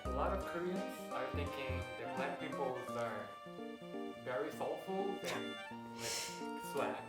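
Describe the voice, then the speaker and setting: A woman asking casual interview questions as the interviewer, halting as she searches for words. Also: low-pitched, expressive. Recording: echoey, far from mic, music behind.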